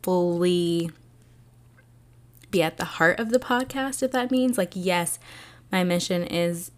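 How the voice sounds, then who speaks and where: A young woman hosting her own podcast, talking alone in a soft, soothing and earnest way, with many fillers. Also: on the low side, slow pace. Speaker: podcast host. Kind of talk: reflective solo podcast talk.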